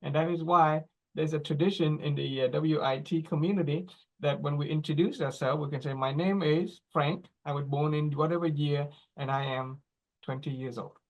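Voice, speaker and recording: A man in his forties giving a teaching talk, explaining slowly in short phrases and checking in with "right?" and "okay?". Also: fairly high pitch, steady tone, darkish tone, rough recording. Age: 40s. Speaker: speaker.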